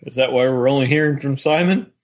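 Mid-pitched man co-hosting a fan podcast. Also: a little fast. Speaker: podcast co-host. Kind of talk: casual fan discussion podcast.

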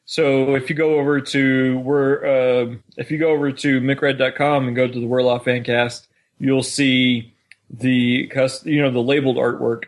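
A man with a medium-pitched voice talks as a podcast co-host. His delivery is conversational and easygoing, with a dry, wry touch. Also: flat delivery, moderate pace. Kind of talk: casual chat.

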